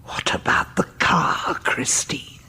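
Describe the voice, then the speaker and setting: An actress playing an eerie, knowing older female neighbour who questions slowly and insinuatingly in a radio play, in a creaky whisper. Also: bright, forceful tone. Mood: probing.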